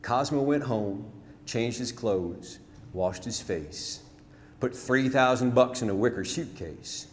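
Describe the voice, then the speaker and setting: A man's voice: mid-range voice, lively intonation, takes it slowly. Man reciting folksy verse in reverberant hall. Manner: dramatic, folksy, emphatic delivery. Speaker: storyteller performing narrative poem. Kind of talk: rhymed theatrical storytelling ballad recitation. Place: on stage in a theatre. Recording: hall reverb, noisy live theatre recording.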